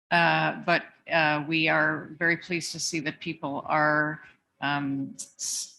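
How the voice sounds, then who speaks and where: A woman gives a membership report at a general meeting. Her voice is bright and forceful, and she keeps the report friendly and conversational, with small asides. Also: deep voice, a little hiss or hum, poor audio. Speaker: association secretary.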